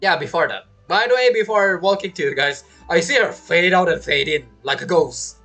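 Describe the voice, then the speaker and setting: A woman streaming a video game with casual, bantering reaction commentary in a husky, expressive voice. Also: on the low side, moderate pace. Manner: expressive, bantering, repeats words. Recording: game music behind voice. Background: video game music.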